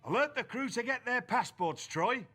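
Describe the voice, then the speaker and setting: A man playing a theatrical wrestling general manager in a video game, speaking in a wry, measured, formal manner. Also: very high-pitched, animated, pushed and bright, full character voice. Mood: decisive, showy. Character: theatrical, wry male wrestling boss with authority. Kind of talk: game cutscene dialogue.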